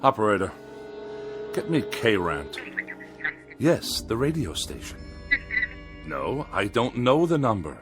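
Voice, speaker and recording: An irascible, haughty middle-aged misanthrope for radio drama. His voice is forceful and very animated, with indignant, sarcastic bite, over faint music. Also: lowish voice, slow pace, long pauses, heavily acted. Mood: haughty, demanding.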